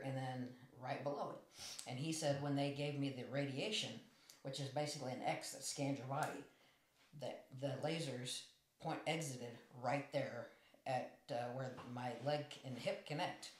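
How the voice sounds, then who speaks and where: Interview guest, forties, high-voiced man, plain storyteller, roomy sound. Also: fairly even intonation, measured pace, slight background noise. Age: 40s. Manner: matter-of-fact, plain storytelling. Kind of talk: telling his life story. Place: small room at a table. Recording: echoey room.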